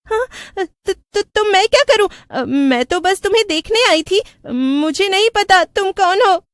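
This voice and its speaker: A woman's voice: very high-pitched, expressive, unhurried, no echo, studio quality, voice pushed up. A woman voicing a frightened, pleading young village woman, timid and stammering, in a horror cartoon story.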